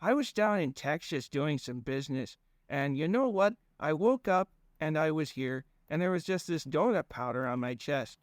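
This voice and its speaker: A man's voice: medium pitch, normal speed, no room sound, clean studio sound, close to an everyday voice. A man voicing a shifty, rambling male werewolf cousin with a put-on folksy accent. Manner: folksy rambling, keeps saying "don't you know".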